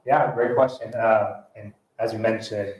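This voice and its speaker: A man's voice: fairly low pitch, steady tone, a little mellow, reverberant, low-quality recording. French-accented man with many restarts. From France. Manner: halting, many restarts and fillers. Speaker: panel guest. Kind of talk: fireside chat on stage.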